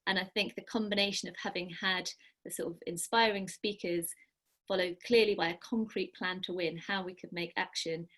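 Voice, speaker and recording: A woman presents at an online workshop. Her voice sits low with little movement in pitch, and she speaks earnestly, with the odd filler. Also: steady tone, a little fast, a bit bright.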